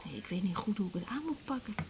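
An actress playing a brisk, troubled woman in a naturalistic radio drama, conversational and sometimes murmuring. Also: medium pitch, expressive, creaky, a little mellow. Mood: troubled, subdued. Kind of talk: naturalistic 1980s Dutch radio drama acting. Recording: faint tape hiss.

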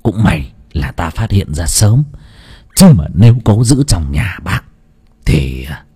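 A man's voice: sing-song, takes it slowly, warm tone, slightly rough, heavily acted. Man doing a grave, muttering village fortune-teller. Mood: ominous. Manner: low muttering, whispered asides.

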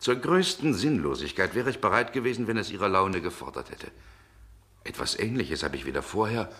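Low, brooding middle-aged aristocratic rake. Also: male voice, sing-song, a little fast, fairly bright tone, exaggerated voice. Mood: reminiscing, hesitant. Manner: mannered, ruminative, old-fashioned formal speech. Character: brooding aristocratic aging rake, restless and world-weary. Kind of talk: German radio play acting.

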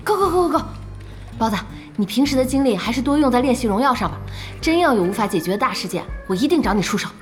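A feisty, blunt young female boss played by a woman in an audio drama. Her voice sits fairly high, exclamatory and slangy, with music behind it. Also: lively intonation, fast, natural voice. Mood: placating. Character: feisty, good-hearted young female internet cafe boss.

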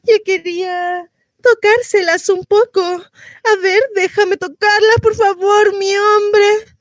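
A woman's voice: sits high, slow pace, no room sound, clean studio sound. An actress with a Chilean accent playing a frantic, sardonic pregnant woman in an exclamatory, melodramatic theatrical monologue. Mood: wry, confiding. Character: frantic, sardonic pregnant woman going through labour and motherhood.

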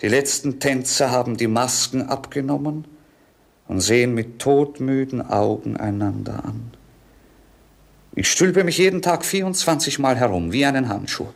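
A man's voice: mid-range voice, normal speed, vocal fry. A man playing a bored, brooding, self-mocking young prince in a 1950s radio play, declaiming lyrically and theatrically. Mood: brooding, world-weary.

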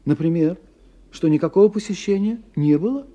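An actor playing an urbane, ironic scientist who philosophizes with wry courtesy in a radio play. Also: male voice, high-pitched, a little fast, muffled recording, close to an everyday voice. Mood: wry, explanatory. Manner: expressive, philosophizing, wryly courteous. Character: urbane, ironic male scientist explaining his theories. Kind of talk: 1990 radio play acting.

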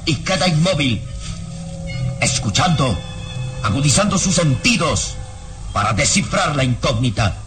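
A man narrating a vintage radio drama from a script with dramatic, suspenseful and deliberate phrasing. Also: a little high, sing-song. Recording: radio serial with music behind.

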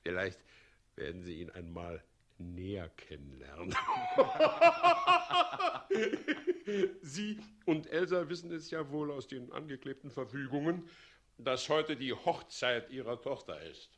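Gloating despot for radio drama: slow, grandiose, mocking delivery with wildly swinging intonation. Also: male voice, medium pitch, wildly swooping pitch, a bit bright, exaggerated voice. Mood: mocking menace. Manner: pompous, mocking, commanding, chuckling. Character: gloating tyrant mayor turned president, smug and menacing. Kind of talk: 1960s German radio play acting.

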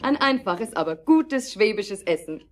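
A woman acting an optimistic, supportive inventor's wife in a German audio drama, earnest and expressive. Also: mid-range voice, measured pace, noisy background, natural voice. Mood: hopeful, restless.

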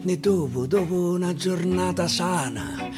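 A man with a Roman accent reciting a dialect narrative poem with theatrical swings from near-whisper to loud bursts. His voice is fairly high and his pace slow and weighted. A guitar plays clearly underneath the radio recording. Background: guitar music.

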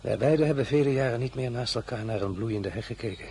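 An actor playing a proud fallen emperor in a radio play, who sounds wistful and then curt. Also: male voice, mid-range voice, fast, a little mellow, a little hiss or hum, natural voice.

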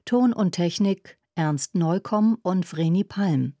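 Female radio announcer for formal credits: slow, mellow, close and dry. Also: fairly low pitch, no room sound.

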